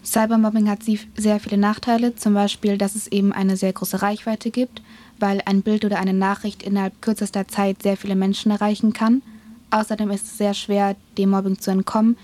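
A woman's voice: mid-range voice, mellow. An eighteen-year-old woman, a student panelist, reading a prepared answer earnestly and stumbling slightly. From Germany.

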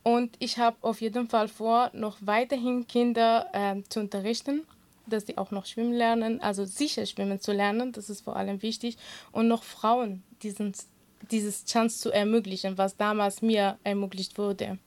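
A woman's voice: mid-range voice, steady tone, moderate pace, darkish tone. A woman whose first language is Kurdish, giving earnest, conversational interview answers in non-native German as a guest. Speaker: interview guest, swimming teacher and social media creator.

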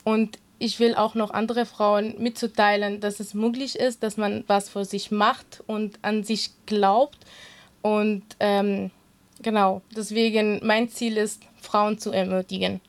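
A woman with a Kurdish accent, Kurdish her mother tongue, answers interview questions about her work. She speaks earnestly but haltingly, with many filler sounds, at an ordinary mid pitch. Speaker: interview guest, swimming instructor.

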